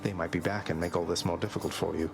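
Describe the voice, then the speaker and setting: An actor playing a young underworld prince in a video game, courteous, dry and earnest in an understated way. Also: male voice, deep voice, steady tone, fast talker, creaky, music in the background. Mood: concerned. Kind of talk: video game character acting.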